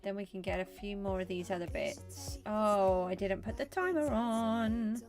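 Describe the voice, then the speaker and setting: A woman's voice: medium pitch, measured pace, darkish tone, soft background music. A British woman streaming a building game live, chatting casually and thinking aloud with frequent ums. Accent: British English. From England. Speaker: gaming livestreamer. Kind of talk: casual live-stream chat while playing.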